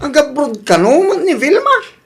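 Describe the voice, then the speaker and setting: A man playing a teasing, squeaky-voiced male buddy in a comedy radio drama. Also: huge pitch swings, moderate pace, creaky, loud music behind the voice, heavily acted.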